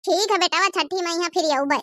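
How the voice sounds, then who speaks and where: A woman's voice: very high-pitched, moderate pace, a bit bright, no echo, studio quality. A woman voicing a poor, affectionate and self-respecting grandmother in a cartoon comedy, humble in manner, with an Awadhi accent. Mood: humble, heartfelt.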